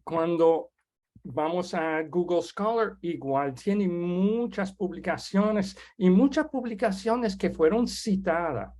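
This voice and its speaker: A man whose first language is French, hosting an online webinar and introducing a guest speaker in a halting, casual way with many restarts. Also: sits high, darkish tone. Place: online video call.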